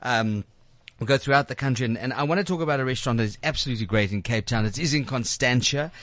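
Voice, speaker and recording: Halting South African man hosting radio. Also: mid-range voice, fairly bright tone, no room sound. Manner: halting, repeats words as he goes. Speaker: radio show host. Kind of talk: casual radio interview chat. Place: radio studio.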